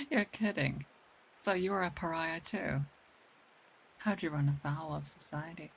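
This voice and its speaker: A woman plays a protective she-wolf of a werewolf, older than she looks, in an intimate ASMR roleplay. Her voice has a gravelly fry and is gentle, wistful and soothing, with unhurried pauses. A faint hiss sits behind it. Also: lowish voice, animated, full character voice, poor audio. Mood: amused. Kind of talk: ASMR roleplay, intimate scripted acting addressed to one listener.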